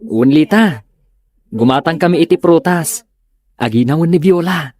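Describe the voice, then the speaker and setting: A man's voice: fairly high pitch, moderate pace, noisy background, lightly acted. A man playing an earnest, doting young husband who keeps the peace in a comedy audio drama. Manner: expressive, earnest.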